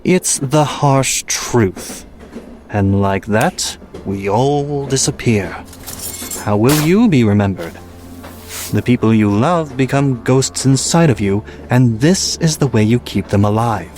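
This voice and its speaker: A man playing a gruff, fatalistic steam train engineer in an audio drama, speaking in a terse, blunt way. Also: mid-range voice, expressive, takes it slowly, darkish tone, faint music behind. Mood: grim, philosophical. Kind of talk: amateur audio drama acting.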